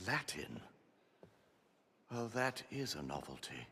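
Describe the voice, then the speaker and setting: Older British gentleman scholar, highly animated pitch, bright tone, fussy, genteel, concerned, game drama. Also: slow pace, a little hiss or hum, exaggerated voice. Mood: puzzled. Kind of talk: cinematic action-adventure game acting.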